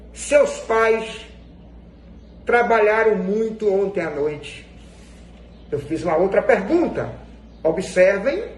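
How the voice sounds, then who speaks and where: A man's voice: high-pitched, a little mellow. A Brazilian man giving an instructional grammar lesson in a didactic, emphatic way, repeating phrases for stress. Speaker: Portuguese grammar teacher. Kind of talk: instructional lesson explained to viewers. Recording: echoey room with faint background noise.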